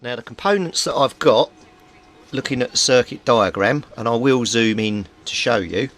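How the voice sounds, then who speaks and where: A man's voice: medium pitch, lively intonation, moderate pace, fairly bright tone. A man giving an informal, methodical workbench explanation as a hobbyist repairer. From Britain. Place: small indoor home workbench. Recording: clearly noisy home recording.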